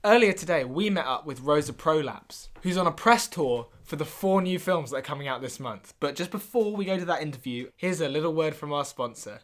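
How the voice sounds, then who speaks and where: A man co-hosting a comic mock interview, deadpan and earnestly agreeable. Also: a little high, brisk, few pauses. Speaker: podcast co-host.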